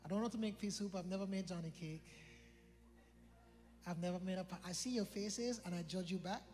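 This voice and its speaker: Guy preaching, super high voice, loose and jokey, repeats lines, big hall echo. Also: a little fast. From British Virgin Islands. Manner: conversational, joking, repeats phrases for emphasis. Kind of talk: sermon. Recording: long hall reverb with faint music.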